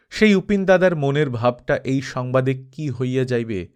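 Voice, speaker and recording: A man narrating a classic novel as an audiobook, with solemn, expressive and measured phrasing. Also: mid-range voice. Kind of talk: scripted literary narration, dramatic storytelling.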